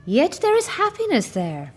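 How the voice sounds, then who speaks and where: A woman's voice: high-pitched, normal speed, faint music behind. Big cheery christmas ghost played by a woman, voice bouncing all over. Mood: guiding. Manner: expansive, commanding, lively. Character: jovial grand ghost of Christmas, booming welcome. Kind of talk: amateur radio play acting.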